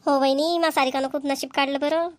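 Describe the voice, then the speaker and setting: A woman acting as a talkative cartoon village woman with a Vidarbha Marathi accent. Her voice sits high and rattles along quickly, full of folksy family chatter, in a dry, close sound. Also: fairly even intonation, no echo, natural voice. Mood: pleased, gossipy. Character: chatty village women gossiping at a family engagement. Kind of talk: amateur cartoon dialogue acting.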